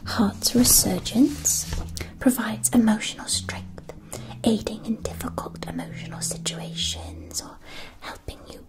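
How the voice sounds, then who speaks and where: A woman playing a calm, attentive witch-supply shopkeeper in a scripted ASMR fantasy roleplay, speaking in a breathy whisper with deliberate, soothing enunciation. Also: fairly high pitch, rough recording. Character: calm, attentive female magic-shop keeper serving a witch student.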